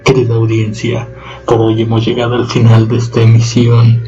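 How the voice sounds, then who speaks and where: Man, even tone, dull lo-fi sound, music underneath, ominous horror host. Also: mid-range voice. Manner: slow, ominous, theatrical. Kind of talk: horror radio drama hosting.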